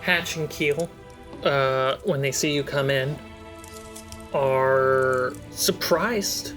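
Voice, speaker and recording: A woman narrating as game master in a tabletop roleplay, in a slow, measured way. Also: extremely low pitch, a bit bright, faint music behind.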